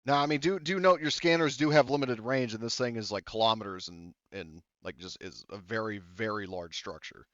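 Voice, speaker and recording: A man's voice: on the low side, fairly quick, hardly any pauses, fairly bright tone, no room sound. A man running a tabletop game as game master, explaining rules in a rambling way with many fillers and restarts. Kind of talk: casual tabletop game narration. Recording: dull lo-fi audio.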